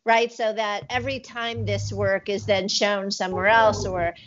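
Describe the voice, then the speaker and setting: A middle-aged woman interviewing in a conversational, reflective way, pausing in mid-phrase as she speaks. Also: mid-range voice, little pitch movement, a bit bright. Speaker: interviewer, arts curator. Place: indoor room. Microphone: wired earphones on a video call. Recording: slightly dull video call audio.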